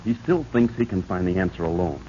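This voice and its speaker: Casting: resentful murderous office schemer, lowish voice, bitter and hard-edged, old muffled radio drama. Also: male voice, animated, a little fast, mellow. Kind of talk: 1940s radio acting. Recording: muffled, noisy 1940s radio recording.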